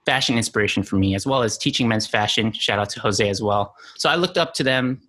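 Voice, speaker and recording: A man's voice: lowish voice, brisk, bright, forceful tone. A young man from New Mexico, a guest on a podcast interview, talking casually with lots of "you know" and easy laughs. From New Mexico, USA. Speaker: guest, content creator.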